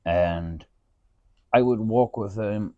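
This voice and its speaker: A podcast host from South Africa with an Afrikaans accent, telling stories in a casual chat, animated and swearing, often saying "dude". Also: male voice, fairly low pitch, takes it slowly, no echo, dull audio. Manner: animated, swearing storyteller who says "dude" a lot.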